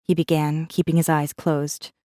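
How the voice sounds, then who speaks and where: A woman voices a defiant rebel heroine telling her own story in an audiobook. Her voice sits low and warm, with a controlled, introspective delivery. The sound is dry and close, and she stays guarded and wry. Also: level tone, normal speed, dry recording, lightly acted. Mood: guarded, observant. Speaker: audiobook narrator. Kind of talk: first-person scripted narration, audiobook.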